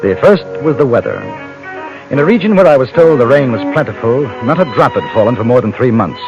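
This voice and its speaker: A man playing a polite, reserved reporter in the frontier West, speaking with dry, measured courtesy. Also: mid-range voice, big pitch swings. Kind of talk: 1950s radio drama narration and dialogue. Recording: dull old radio recording, music behind.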